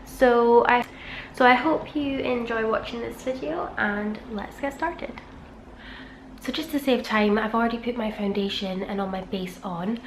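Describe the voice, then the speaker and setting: A woman's voice: a little high, unhurried. A woman with a nasal, bunged-up voice from a cold, chatting casually through a makeup routine at home. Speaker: beauty vlogger. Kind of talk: chatty get-ready-with-me talk. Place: home room beside a busy street. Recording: echoey room with traffic noise. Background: traffic noise.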